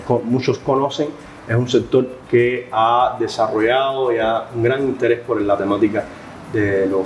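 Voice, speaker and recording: A man with a Cuban Spanish accent, a professor guest on a podcast, answering in a measured, explanatory way with frequent hesitations. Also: medium pitch, a little mellow, roomy sound, a little hiss or hum, poor audio. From Cuba.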